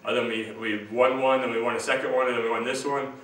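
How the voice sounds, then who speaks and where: A young man, a college basketball player, answering postgame interview questions in a plain, earnest, slightly halting way. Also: mid-range voice, level tone, a bit bright. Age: young adult. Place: small room at a team backdrop. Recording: echoey room, distant mic, faint hiss.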